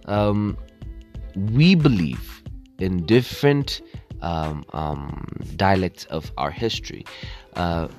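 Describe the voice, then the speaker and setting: A young man from Ethiopia hosts his own podcast alone, his lowish voice wandering through fillers, restarts and self-deprecating asides. He keeps a casual, chatty tone. Faint music sits behind him. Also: animated, slow, darkish tone. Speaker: podcast host. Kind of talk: solo casual podcast monologue.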